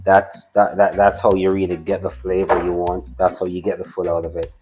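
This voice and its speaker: A man's voice: deep voice, monotone, brisk, over music. A Jamaican man from the island's countryside, talking casually through a cooking demonstration and often repeating words as he starts a thought. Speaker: guest cook.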